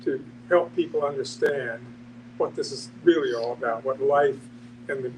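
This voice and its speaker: A man thinking aloud in a halting, reflective way during a casual discussion. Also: mid-range voice. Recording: distant mic, noisy humming room.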